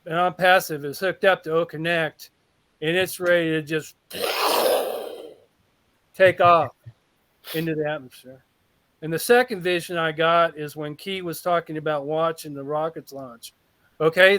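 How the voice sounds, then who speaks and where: A man on a group video call gives a long, eager testimonial, rambling and often circling back mid-sentence. His voice sits slightly high. The sound is dry and close, with no room around it. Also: fairly bright tone, no room sound. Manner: rambling, enthusiastic, restarts often. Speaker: call participant.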